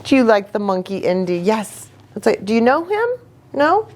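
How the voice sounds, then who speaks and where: A woman reading a story aloud for young children as a church storyteller, expressive, with chatty asides. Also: medium pitch, moderate pace, a little hiss or hum.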